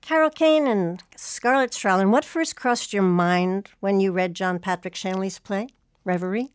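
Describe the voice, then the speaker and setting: A woman presents as the host of an interview program. Her delivery is formal and articulate, with a medium pitch. The sound is very dry, with no room echo. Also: a little slow, clear, resonant tone. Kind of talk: scripted introduction and interview.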